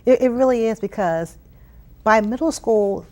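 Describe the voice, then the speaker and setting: A woman's voice: fairly high pitch, a little slow, darkish tone. Earnest American woman explaining in an interview. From Philadelphia, United States. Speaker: interview guest, youth STEM program leader. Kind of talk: conversational interview answers.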